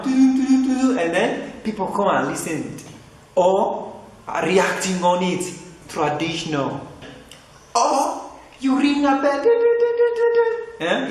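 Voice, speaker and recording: A young man with a Tanzanian English accent teaching a lesson in an empty computer classroom, repeating points and asking "right?" often. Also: sits high. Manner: repetitive, asks "right?" and "huh?" often, lively gestures. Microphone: camera mic at a few feet. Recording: very echoey classroom with faint hiss.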